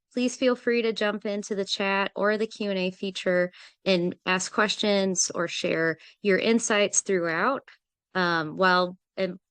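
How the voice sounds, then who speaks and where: Smooth-voiced woman hosting a webinar. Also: mid-range voice, fairly bright tone, no echo. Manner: friendly and organized, with frequent "um" fillers. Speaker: webinar host, project manager. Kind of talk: presenting a business webinar.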